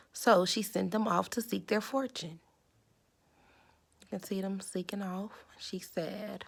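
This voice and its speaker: A woman reads a children's story aloud in a medium-pitched voice with noticeable creak. She narrates and explains in simple terms, like an instructive reader for young listeners.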